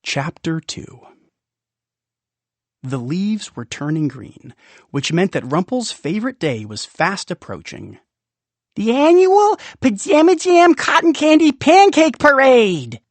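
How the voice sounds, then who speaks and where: A man narrating a children's audiobook in an expressive, playful way with dramatic pauses. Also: high voice, dry recording. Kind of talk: expressive scripted storybook narration.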